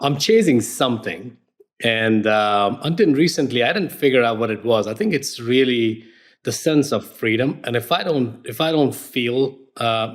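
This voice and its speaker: A man from India with an Indian accent, a podcast guest talking through his story in a reflective way, often repeating words as he thinks. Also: mid-range voice. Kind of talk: conversational interview.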